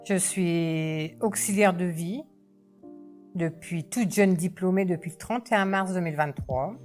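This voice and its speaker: Low-voiced plain-spoken woman in her fifties. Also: measured pace, darkish tone, soft background music. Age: 50s. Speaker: interviewee, care worker. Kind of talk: testimonial interview.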